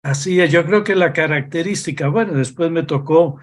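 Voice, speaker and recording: An older man from Costa Rica, a religious brother, answering interview questions slowly and reflectively with frequent pauses. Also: on the high side. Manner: slow, reflective, hesitant with pauses. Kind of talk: interview over video call.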